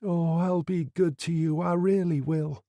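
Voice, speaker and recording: A man's voice: on the high side, steady tone, unhurried, creaky, dark tone, dry recording, clean studio sound, pitched above the performer's own voice. A man voicing a frightened young female prostitute who pleads and sobs. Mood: pleading. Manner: pleading, sobbing.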